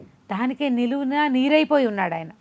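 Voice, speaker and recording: High-voiced woman telling a folk tale. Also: brisk, muffled recording. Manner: conversational, expressive, repeats phrases for emphasis. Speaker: storyteller. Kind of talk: oral storytelling of a folk moral tale, acting out dialogue.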